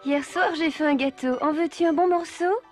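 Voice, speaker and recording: A woman's voice: high-pitched, moderate pace, over music, natural voice. A woman voicing a patient female character who coaxes and instructs in a French cartoon dub. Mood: coaxing. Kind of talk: animated cartoon dub acting.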